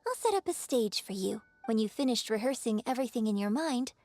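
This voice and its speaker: An actress playing a gracious opera performer in a video game, coaching patiently with polished, gentle, encouraging delivery. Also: female voice, a little high, expressive, a little fast, faint music behind. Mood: patient, guiding. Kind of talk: scripted video game voice acting.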